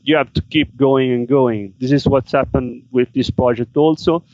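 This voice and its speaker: A man answering interview questions in halting second-language English. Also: medium pitch, steady tone, darkish tone, dry recording. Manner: halting non-native English with fillers. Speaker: guest, business seller. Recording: dry, dull remote call audio.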